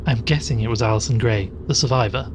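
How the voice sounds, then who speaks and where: A man's voice: medium pitch, fast, background noise, natural voice. A man playing an inquisitive, sceptical show host in an audio drama, conversational, probing and a little impatient.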